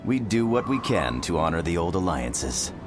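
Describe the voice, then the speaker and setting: A man's voice: low voice, very animated, normal speed. A man playing a loyal, dependable human hero, speaking in a plain, composed way in a video game cutscene. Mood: calm, dutiful. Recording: loud music behind. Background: loud music.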